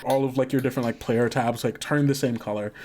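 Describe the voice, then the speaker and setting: A man's voice: medium pitch, steady tone, a little mellow, slight background noise. A man narrating as game master, hesitant and filling gaps with "uh" and "like". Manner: halting, lots of fillers like "uh" and "like".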